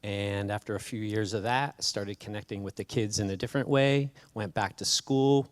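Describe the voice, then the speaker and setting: Male school counselor from the US, low voice, easygoing, dry close sound. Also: steady tone, moderate pace, no room sound. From United States. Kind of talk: friendly introduction to parents.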